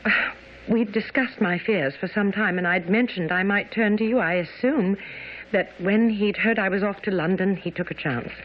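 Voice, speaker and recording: A woman's voice: mid-range voice, normal speed, close to an everyday voice. An actress playing an anxious, well-bred young Victorian woman, speaking earnestly and formally in a radio drama. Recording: noisy, dull old radio broadcast.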